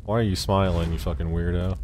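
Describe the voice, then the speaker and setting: A man streaming a game, making casual, profane commentary in deadpan, muttered asides. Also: sits low, fairly quick, slight background noise. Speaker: streamer reading game dialogue aloud.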